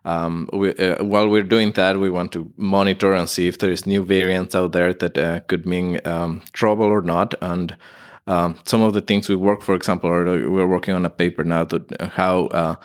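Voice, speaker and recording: A man from Ecuador speaks with an Ecuadorian Spanish accent in a fairly low voice. He is a middle-aged guest scientist who talks hesitantly, full of fillers and restarts. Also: flat delivery, moderate pace. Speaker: guest plant pathologist. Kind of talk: conversational interview.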